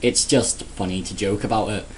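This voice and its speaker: A man's voice: fairly low pitch, fairly even intonation, moderate pace, a little hiss or hum. A man giving a sarcastic, crude, rambling comedic rant in a casual vlog. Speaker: vlogger.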